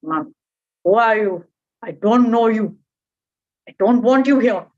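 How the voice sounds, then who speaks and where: A woman voicing a huge old mountain that talks in a flat, blunt, curt way. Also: fairly high pitch, slow. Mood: wary. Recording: dull lo-fi recording.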